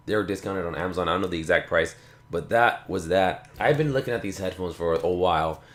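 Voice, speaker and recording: A man hosting a casual tech video, rambling in a relaxed way and repeating himself, with a slight cough. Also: low-pitched, a little fast. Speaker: tech channel host. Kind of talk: casual unboxing talk to camera. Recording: echoey room.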